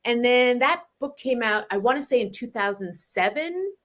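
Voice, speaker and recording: A woman in her forties, a novelist from Canada, talking about her books in a relaxed interview, animated and often laughing. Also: a little high, normal speed. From Montreal, Canada. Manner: chatty and animated, laughs often, frequent restarts. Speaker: novelist guest.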